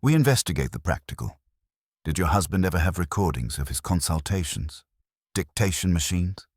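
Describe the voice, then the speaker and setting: A practical male police inspector, voiced by an audiobook narrator with a very low voice. He is courteous, brisk and dry as he questions people, recorded close with no echo. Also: big pitch swings, darkish tone, husky, full character voice. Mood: probing.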